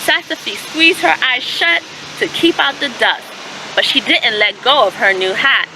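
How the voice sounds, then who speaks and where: A woman's voice: high voice, background noise. A woman reading a children's story aloud expressively, in a teacherly way that invites listeners to respond. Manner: teacherly, asks listeners questions and pauses to affirm answers. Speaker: storyteller and host of a children's read-aloud channel.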